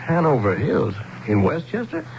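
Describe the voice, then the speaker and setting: An American actor playing a weary, rattled commuter husband in a 1970s radio drama, both narrating and speaking with hesitant, exasperated politeness. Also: male voice, on the high side, wildly swooping pitch, normal speed, vocal fry, a little mellow, a little hiss or hum, full character voice. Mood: polite exasperation. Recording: dull 1970s radio broadcast, music behind.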